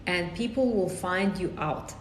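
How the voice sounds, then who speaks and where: A woman from Romania with a Romanian accent, a life coach hosting a live-streamed workshop, greeting viewers by name and often repeating or restarting her phrases. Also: measured pace. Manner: greets viewers by name and reads comments aloud. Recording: echoey room with faint rumble.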